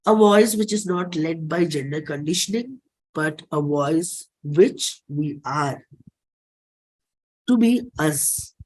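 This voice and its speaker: A performer with an Indian accent giving a dramatic reading of a personal play script, deliberate, with pauses and repeated phrases. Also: mid-range voice, poor audio. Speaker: performer and singer.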